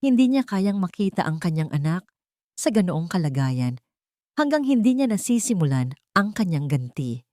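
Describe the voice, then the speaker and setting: A woman narrating a scripted dramatic story as an audiobook, with solemn, deliberate phrasing. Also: lowish voice, big pitch swings, warm tone, no echo.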